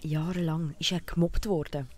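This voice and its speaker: An actress playing a brisk, gossipy village tavern keeper in a Swiss German comic audio drama. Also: female voice, sits low, steady tone, darkish tone. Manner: no-nonsense, gossipy.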